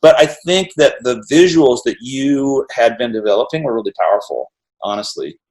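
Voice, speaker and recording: A middle-aged man hosting an interview over a video call, talking in an energetic, rambling way and often tagging on "right?". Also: medium pitch, moderate pace, poor audio. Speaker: interview host. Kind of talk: animated casual conversation.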